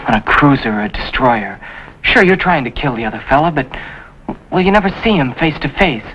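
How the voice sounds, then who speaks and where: An actor playing an earnest young American Navy recruit who speaks hesitantly, in 1950s television drama style. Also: male voice, fairly high pitch, husky. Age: young adult. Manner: hesitant, repeats himself earnestly. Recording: dull, noisy 1950s television soundtrack.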